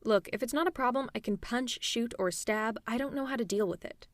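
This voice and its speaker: A woman playing a tough but caring female supervillain in an ASMR roleplay, speaking in a conversational, reassuring and gently teasing way. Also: on the high side, a little fast, no room sound, clean studio sound, natural voice. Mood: exasperated. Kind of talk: ASMR roleplay audio drama.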